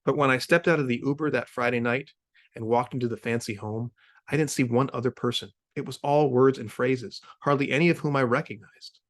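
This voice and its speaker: A male author at an online literary reading. He presents his own short fiction in a middle-pitched voice. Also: fairly even intonation, fairly quick. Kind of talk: informal spoken introduction, then literary reading. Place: remote video reading series.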